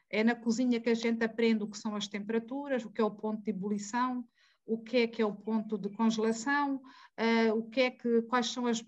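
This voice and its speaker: A woman with a Mozambican Portuguese accent talks through an academic argument and keeps stopping to correct herself. Her voice sits a bit high. The call audio is muffled and lo-fi. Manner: digressive, self-correcting, asks rhetorical questions. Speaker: guest speaker in an academic debate. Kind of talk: conversational academic talk.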